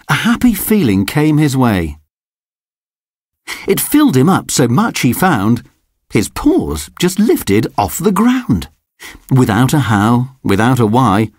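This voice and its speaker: Slow sing-song picture book reader. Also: medium pitch, darkish tone, husky, no room sound, clean studio sound. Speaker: storybook reader. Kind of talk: children's picture book read-aloud.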